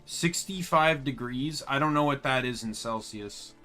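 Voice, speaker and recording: Chatty young man, bright loud voice. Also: medium pitch, measured pace. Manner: chatty, rambling asides. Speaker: streamer. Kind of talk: casual gameplay chat. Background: game music.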